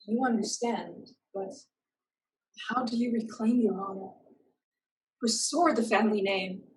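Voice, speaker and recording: A woman playing a young survivor burdened by shame, speaking earnestly and haltingly in a staged play reading. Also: medium pitch, close to an everyday voice, rough recording. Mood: anguished.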